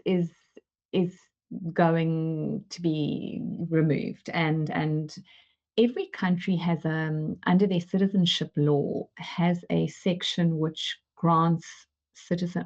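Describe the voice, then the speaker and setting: A woman's voice: low-pitched, level tone, unhurried, darkish tone, dull audio. A woman from South Africa speaking as an advisor in a webinar discussion, hesitant, with many fillers and repeated words. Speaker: managing director at an advisory firm.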